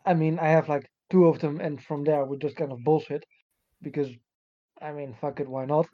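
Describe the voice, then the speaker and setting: A man's voice: a little high, level tone, moderate pace. A man hosting a casual podcast and asking questions, profane and sardonic, recorded with a dull, lo-fi sound. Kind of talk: casual banter.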